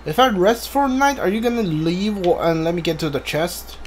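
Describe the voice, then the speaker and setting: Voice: medium pitch, expressive, fairly quick, slight background noise. A video game streamer giving casual playthrough commentary, muttering to themselves while thinking aloud. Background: game music.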